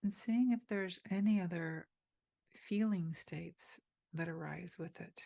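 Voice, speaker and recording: A woman leading a guided meditation, speaking softly and gently inviting the listener, with pauses between phrases. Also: lowish voice, vocal fry, no echo, clean studio sound. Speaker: meditation teacher.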